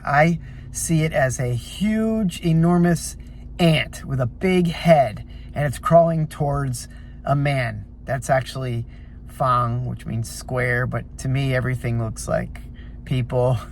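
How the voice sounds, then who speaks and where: Low-voiced man with a gravelly edge. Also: a little hiss or hum. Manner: chatty, rambling asides. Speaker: video host teaching Chinese characters. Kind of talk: casual vlog narration with language teaching.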